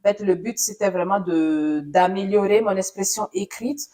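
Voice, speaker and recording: A woman's voice: mid-range voice, normal speed, warm tone. A woman born in Italy, an Italian speaker, telling her career story as a radio journalist in an interview, thoughtful with many fillers.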